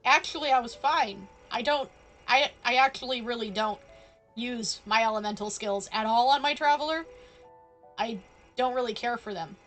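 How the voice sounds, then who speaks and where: A woman's voice: fairly high pitch, moderate pace, fairly bright tone, faint music behind, poor audio. A woman doing casual, jokey live commentary as she streams a game, with a playful manner.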